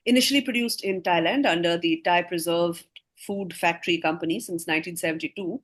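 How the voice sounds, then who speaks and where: A woman quizmaster reading scripted questions aloud, with casual asides to the players. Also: on the low side.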